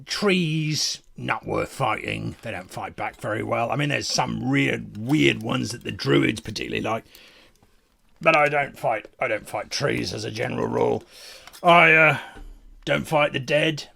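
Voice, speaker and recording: Male performer as fantasy warrior, battle-weary, proud, pompous, deliberate, gravelly, comic monologue. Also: mid-range voice, bright, forceful tone, slight background noise, voice pushed down, exaggerated voice. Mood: weary, boastful.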